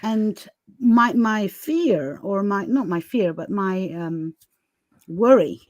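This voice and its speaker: A woman's voice: medium pitch, expressive, slow pace, darkish tone, dry recording, lo-fi sound. A woman podcast host talking candidly and casually, emphatic and given to chuckling as she goes.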